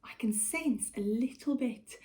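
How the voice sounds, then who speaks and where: A South African woman reading a storybook aloud for children like a schoolteacher, with deliberate, expressive delivery. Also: a little high. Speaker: primary school teacher.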